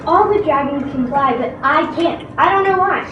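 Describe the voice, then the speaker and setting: Echoey, high-voiced young dragon, played by a female reader. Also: moderate pace, muffled recording, close to an everyday voice. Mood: plaintive. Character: young dragon tied up, unable to fly, friendly. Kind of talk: amateur readers theatre performance. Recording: echoey room from far, clear noise.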